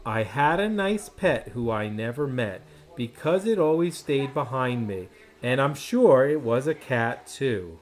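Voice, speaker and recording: A man's voice: mid-range voice, lively intonation, unhurried, few pauses, natural voice. A man voicing an eager, shy little copycat schoolgirl in a children's storybook, childlike and keen to please. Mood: earnest.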